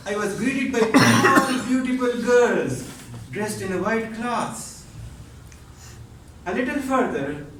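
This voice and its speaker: A man delivers a theatrical story on stage in a high, very animated voice. He takes his time, stretching phrases and pausing for effect. The recording is very echoey and distant, with faint noise. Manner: dramatic, drawn-out delivery with pauses. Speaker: contest speaker. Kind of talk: theatrical storytelling speech to an audience. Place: echoey room at a speech contest. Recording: roomy echo, distant mic, faint noise.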